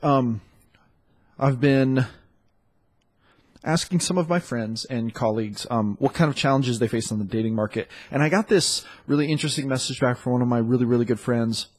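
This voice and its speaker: A man hosting a dating podcast in a loose, chatty way, sounding congested and often pausing on fillers. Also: mid-range voice. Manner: loose and chatty, with many fillers and self-corrections. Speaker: podcast host and dating coach. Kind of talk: casual solo podcast talk.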